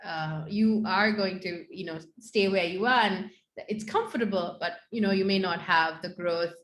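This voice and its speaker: A woman's voice: medium pitch, normal speed, fairly bright tone, roomy sound, slight background noise. A woman hosting an online panel interview, asking questions and affirming her guest in an encouraging way. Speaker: host, interviewer.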